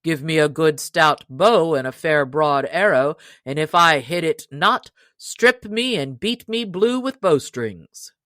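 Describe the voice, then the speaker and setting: Proud, surly young countryman archer for a storybook, blunt and emphatic, deliberate pace, dry sound, performed by a woman. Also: low-pitched, expressive, fairly bright tone, no room sound, heavily acted. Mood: surly, defiant. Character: surly, proud young stranger, male cudgel fighter and archer. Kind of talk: storybook character reading.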